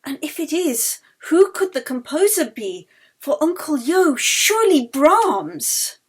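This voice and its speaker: A woman's voice: high-pitched, big pitch swings, slow, voice pushed up, exaggerated voice. A woman voicing a young woman violinist who speaks in an urgent, pleading way.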